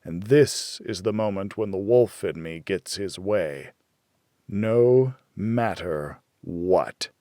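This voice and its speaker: A man reading a hardboiled first-person thriller audiobook in a measured, deliberate storytelling manner, close to the microphone. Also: low voice, animated, mellow, no room sound. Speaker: audiobook narrator.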